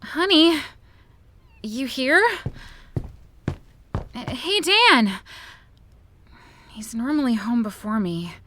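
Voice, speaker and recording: A distraught woman in an audio drama, pleading with the man she loves. Her voice is high and her delivery is slow and anguished. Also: lively intonation, sharp tone, a little hiss or hum, full character voice. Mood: anxious, worried.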